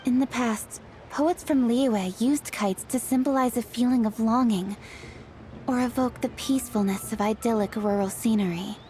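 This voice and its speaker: An actress playing an earnest young official in a video game, speaking in a measured, explanatory way. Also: female voice, a little high, a little mellow, slight background noise, natural voice, poor audio. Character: young official woman, earnest, proud of tradition.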